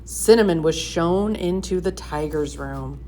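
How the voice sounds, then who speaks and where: A woman gives an expressive storybook read-aloud. Her voice is on the lower side, and the recording carries faint room noise. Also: measured pace. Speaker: storybook reader.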